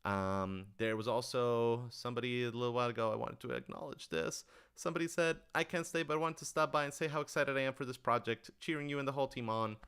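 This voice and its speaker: A man's voice: medium pitch, fast, few pauses, fairly bright tone, clean tone. A man speaking casually and earnestly on a question-and-answer livestream, enthusiastic and given to rambling asides. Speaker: writer-director answering audience questions.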